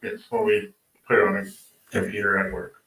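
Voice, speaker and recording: Flat, gravelly man testifying, echoey. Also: deep voice, a little fast, a little hiss or hum. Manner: terse, deferential. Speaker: police witness testifying. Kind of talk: courtroom testimony. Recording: echoey courtroom playback.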